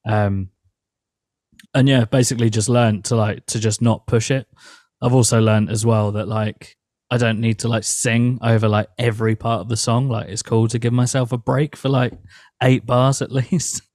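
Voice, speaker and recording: A man's voice: medium pitch, level tone, normal speed, darkish tone, no room sound, clean studio sound. A young man, a metal band's vocalist, talking casually as a guest and filling his speech with "like". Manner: rambling, heavy use of "like", laughs easily. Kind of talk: casual interview chat.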